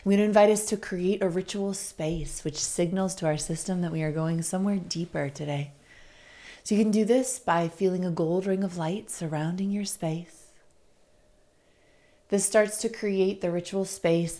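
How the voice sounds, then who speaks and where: Woman doing guided meditation, lowish mellow voice, soothing and deliberate. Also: fairly even intonation. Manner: soothing, deliberate, gently inviting. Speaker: guest meditation facilitator.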